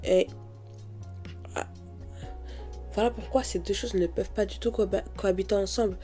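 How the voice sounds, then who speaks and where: A woman's voice: mid-range voice, fairly even intonation, moderate pace, mellow, soft background music. Woman with a head cold, nose all blocked up, talks casually right at her listeners about faith. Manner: chatty, addresses listeners directly. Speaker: podcast host.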